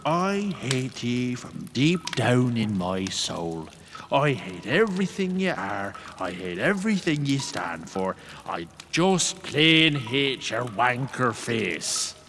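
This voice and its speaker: A man's voice: medium pitch, very animated, slow, a bit bright, heavily acted. A man playing a blunt, scornful undead warrior general in a fantasy audio drama, speaking in insults and old-fashioned phrases. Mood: bitterly hateful. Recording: echoing cave reverb, faint noise.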